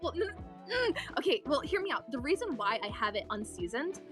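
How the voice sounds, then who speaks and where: A woman with a fairly high voice that leaps around in pitch, talking chattily as a guest and tumbling through fillers as she explains herself. Faint music plays behind her. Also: very animated, fairly quick. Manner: chatty, rambling, lots of fillers like "okay". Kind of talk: casual group chat.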